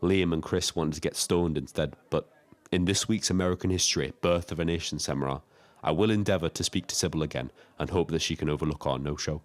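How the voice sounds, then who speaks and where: An actor playing a lonely, reflective taxi driver in an audio drama, telling his story in a measured, literary way. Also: rumbling low. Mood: wry, wistful. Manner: wordy, literary, measured first-person storytelling. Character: Frank, lonely reflective male Brighton taxi driver and diarist. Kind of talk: scripted dramatic diary narration.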